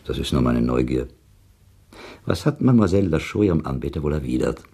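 An actor playing a shrewd, cynical, curious listener in a radio play, with a dry, worldly, probing manner. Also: male voice, extremely low pitch, animated, a little fast, dark tone, slightly rough, exaggerated voice.

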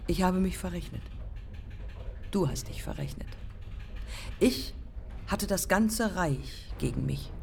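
An actress playing a bitter, haunted pioneer woman pilot in a poetic radio play, with deliberate, fragmentary phrasing. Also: fairly low pitch, creaky, darkish tone, heavily acted. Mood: grave, haunted. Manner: deliberate, fragmentary, incantatory phrasing with repeated lines.

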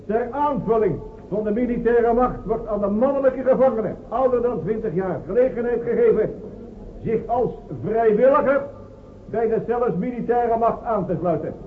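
A man's voice: very high-pitched, normal speed, distant mic, slight background noise. An actor playing a stern male prison official who reads out a decree to prisoners in a commanding, barking way. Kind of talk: radio drama acting. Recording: echoey, phone-like lo-fi radio recording.

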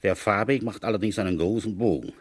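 An actor playing a gruff, world-weary middle-aged village police commissioner in a German radio crime play, talking in a grumbling, conversational way. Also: male voice, on the low side, quick pace. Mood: musing storytelling. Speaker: narrator and lead character.

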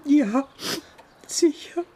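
An actress in a radio drama playing a wistful, grieving woman who speaks haltingly and plaintively. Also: sits high, lively intonation, warm tone, exaggerated voice. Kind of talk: radio crime drama acting.

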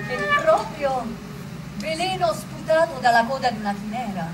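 A woman's voice: high-pitched, lively intonation, normal speed, very noisy. A woman acting as a solemn narrator, reading a visionary patriotic letter in an oratorical theatrical style. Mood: solemn, exhortative. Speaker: actress and narrator. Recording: voice over loud music.

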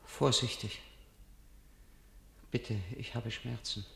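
An actor playing a gravely wounded, stubborn and vengeful man in a German radio drama, weak and in pain, with a breathy, strained, halting delivery. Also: medium pitch, little pitch movement, fairly bright tone. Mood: weak, pleading. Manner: halting, labored, resolute.

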